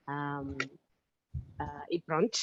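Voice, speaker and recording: A woman's voice: low-pitched, steady tone, extremely slow, a little hiss or hum. A woman nurse, chatting informally at an online event. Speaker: panelist, head school nurse. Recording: dry, muffled online call.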